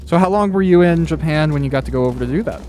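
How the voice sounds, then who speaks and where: A man's voice: a little high, very fast, darkish tone. A man interviewing in an easygoing, casual way while playing a video game. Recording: music in the background.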